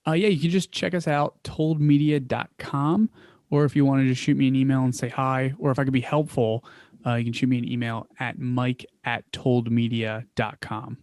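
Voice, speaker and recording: A young man with a Philadelphia accent, answering interview questions casually, trailing off quietly at times, with many fillers and restarts. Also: mid-range voice, level tone, moderate pace, no room sound, studio quality. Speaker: podcast guest, founder.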